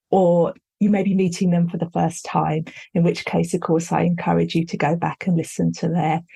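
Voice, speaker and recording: A woman hosting a podcast panel, welcoming guests in a measured, courteous way. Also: lowish voice, vocal fry, mellow, husky. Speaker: podcast host.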